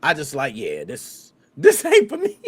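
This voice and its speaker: A man from the United States hosting a podcast, chatting casually in slangy, animated speech and acting out little scenes. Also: mid-range voice, unhurried, a crowd in the background. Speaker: podcast host.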